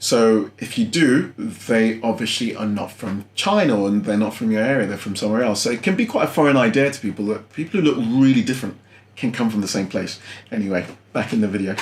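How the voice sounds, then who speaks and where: A man's voice: fairly low pitch, lively intonation, brisk. A man from London hosting a food channel, chatting casually and laughing often as he reacts to a video. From London, England. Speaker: YouTube food channel host. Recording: roomy room sound.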